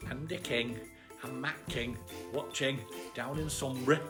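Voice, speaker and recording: A woman's voice: extremely low pitch, fairly even intonation, unhurried, over music, pitched below the performer's own voice. A woman voicing a small, cheerful, chatty armadillo who hosts a children's bedtime story livestream. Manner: chatty, exclaiming greetings, giggly.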